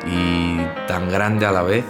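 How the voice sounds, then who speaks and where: Man, very low pitch, casual reflective talk, searching for words, clear music underneath. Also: steady tone, slow. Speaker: pianist.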